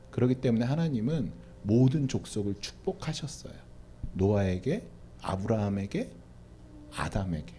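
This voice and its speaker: A man's voice: on the low side, dark tone, a little hiss or hum. A man who is a pastor, answering questions at church in a preaching style, often addressing the audience and answering his own questions.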